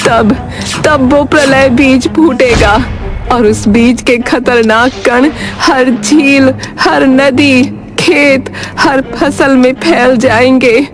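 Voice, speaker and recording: A woman voicing a dying old grandmother in a cartoon story, grave and prophetic, halting and repeating her words with urgency. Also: high-pitched. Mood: grave, ominous. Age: older. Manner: halting, repeats phrases ominously. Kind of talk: dramatic cartoon story voice acting. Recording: music behind the voice.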